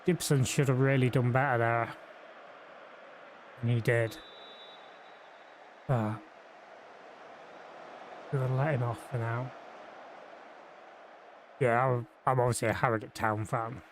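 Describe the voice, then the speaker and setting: A man's voice: mid-range voice, steady tone, slow, pauses often, a little hiss or hum. A man from Yorkshire streaming a football management game, chatting casually and thinking aloud with fillers and restarts. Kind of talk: live game commentary and chat.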